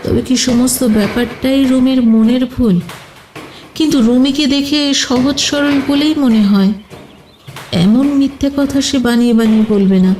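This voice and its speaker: A woman's voice: high-pitched, measured pace, a little mellow, echoey room, slight background noise. A woman narrating a scripted detective audio story in a dramatic storytelling style.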